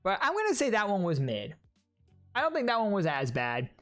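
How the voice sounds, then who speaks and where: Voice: mid-range voice, huge pitch swings, a little fast, a bit bright. Chatty streamer ranking game trailers, joking with chat, voice jumps all over, some music under it. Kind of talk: casual reaction commentary.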